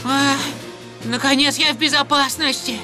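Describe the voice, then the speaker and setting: A woman voicing a naive, playful, easily scared young tow truck in a children's cartoon dub, excitable and whimpering. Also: sits high, normal speed, music in the background, close to an everyday voice. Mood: sheepish.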